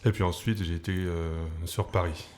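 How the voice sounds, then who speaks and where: A man coaching a sports club, giving short, soft-spoken answers far from the microphone. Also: low-pitched, steady tone, moderate pace, a little hiss or hum. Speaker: guest, coach. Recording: distant from the microphone.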